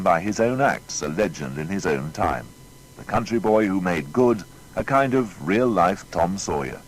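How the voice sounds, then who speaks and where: Deep-voiced male documentary narrator. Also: a little hiss or hum, low-quality recording. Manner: measured, storytelling, formal.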